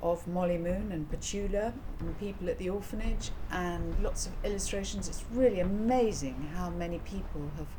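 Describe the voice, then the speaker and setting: A woman author chatting informally to her listeners in an unhurried, musing way, pausing often. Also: fairly low pitch. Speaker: author and reader of her own book, channel host. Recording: clear background noise.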